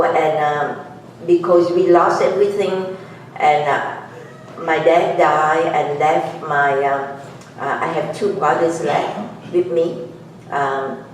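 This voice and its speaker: A woman in her sixties with a Vietnamese accent speaks earnestly and haltingly, often pausing and restarting. She is picked up from across a very echoey meeting room by a distant mic. A faint hiss of noise sits underneath. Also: low voice. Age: 60s. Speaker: guest speaker and author. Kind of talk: personal testimony talk to a club audience.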